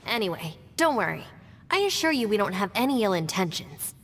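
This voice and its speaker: Shrewd young woman, measured, polite, matter-of-fact, mid-pitched, composed game character, long reverb. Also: big pitch swings, a bit bright, a little hiss or hum. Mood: calm, assuring. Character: composed, shrewd young female law graduate, dutiful deputy. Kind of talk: video game voice acting.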